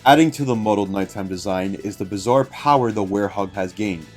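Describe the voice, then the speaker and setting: A man's voice: lowish voice, a little fast, darkish tone. Man reading a review over guitar music. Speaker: guest reader of a written review. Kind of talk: reading a written review aloud. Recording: music behind the voice.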